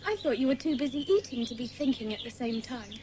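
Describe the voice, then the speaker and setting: Cheeky, excitable schoolgirl played by a woman for a children's audio adventure; high, very lively delivery. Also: fast talker, noisy background, lightly acted. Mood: chatty. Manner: eager, exclamatory. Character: excitable, cheeky young girl in a children's detective club. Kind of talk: dramatised children's audiobook acting.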